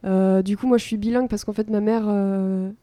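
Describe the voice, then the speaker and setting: A woman's voice: medium pitch, fairly even intonation, a little fast, dark tone, no echo. A young woman in her twenties, a band singer chatting upbeat in an interview, laughing often. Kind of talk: casual interview chat.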